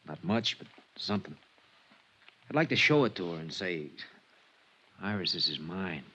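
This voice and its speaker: An American man acting a downhearted small-time bandleader in a 1950s radio drama, halting, wistful and slangy. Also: low voice, expressive, pushed and bright, slightly rough, heavily acted. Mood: wistful, regretful. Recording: muffled vintage radio recording.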